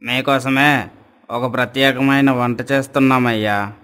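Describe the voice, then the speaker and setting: A man's voice: medium pitch, fairly even intonation, measured pace, fairly bright tone, clean tone, close to an everyday voice. A man playing an obliging household cook in a cartoon story, speaking respectfully to his master. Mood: eager, grateful. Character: deferential male household cook, eager to please his master.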